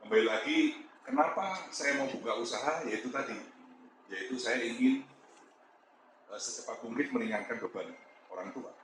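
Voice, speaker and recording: A man's voice: mid-range voice, sing-song, moderate pace. Javanese-accented man in an echoey room. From Malang, East Java. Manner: candid, chuckling storyteller. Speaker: business owner giving a talk. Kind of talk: motivational talk to an audience. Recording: echoey room with background noise.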